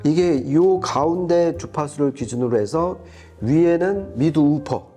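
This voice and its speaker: A man with a mellow, relaxed voice talks through a product review. He explains things step by step and often rephrases himself. Faint music plays behind him. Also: a little high, measured pace, slightly rough. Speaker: audio reviewer and co-host.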